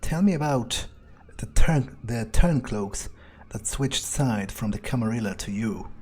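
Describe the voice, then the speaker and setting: A man's voice: mid-range voice, expressive, unhurried, darkish tone, breathy edge. A man voicing a wary, curious young male vampire newcomer in a video game roleplay, casual and hesitant, as if thinking aloud.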